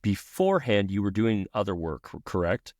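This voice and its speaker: A man hosting a casual interview podcast, relaxed, asking open questions and giving brief affirmations. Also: on the low side, moderate pace, dry recording, clean studio sound. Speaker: podcast host.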